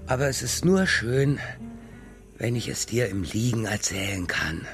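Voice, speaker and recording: A man's voice: lowish voice, lively intonation, a little slow, a bit bright, soft background music. An actor playing a whimsical, sleepy middle-aged father who banters hesitantly in a children's radio play. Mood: drowsy, playful.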